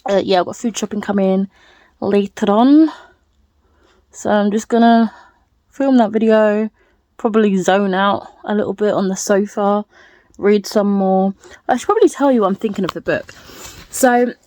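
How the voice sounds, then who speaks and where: A woman's voice: medium pitch, a little mellow, no echo. A woman talking casually to her viewers as a book vlogger, in a playful, rambling, self-deprecating way. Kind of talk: casual chatty vlog to viewers.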